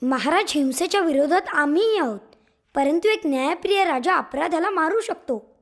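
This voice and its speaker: Very high, indignant little animal. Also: female voice, animated, moderate pace. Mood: earnest. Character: small indignant animal, earnest and argumentative. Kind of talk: children's animated fable acting.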